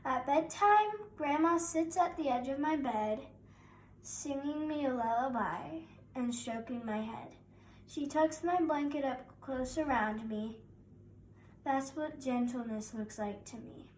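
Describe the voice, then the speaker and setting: A woman's voice: high voice, measured pace, far from the mic. A young girl of about nine reading a children's picture book aloud as narrator. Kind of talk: scripted children's story narration. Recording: roomy echo, faint background noise.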